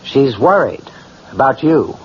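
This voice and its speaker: Candid friend, pointed questions, very animated intonation, old radio drama, dull sound. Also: male voice, mid-range voice, a little slow. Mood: curious, needling. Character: probing, candid male friend of a troubled bridegroom. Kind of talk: 1970s radio drama acting. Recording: dull, faintly noisy vintage radio.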